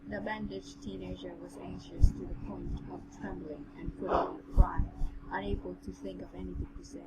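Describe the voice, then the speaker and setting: A woman's voice: on the low side, little pitch movement, brisk. A woman hosting a reading podcast, narrating a story in an amateur, casual way with her own comments. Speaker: podcast host reading aloud. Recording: heavily noisy room recording.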